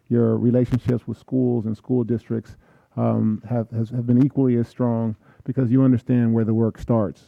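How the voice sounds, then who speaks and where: Man, school board president, gravelly voice, tinny narrow sound, earnest welcome. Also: mid-range voice, no echo. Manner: earnest, appreciative. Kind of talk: welcoming remarks.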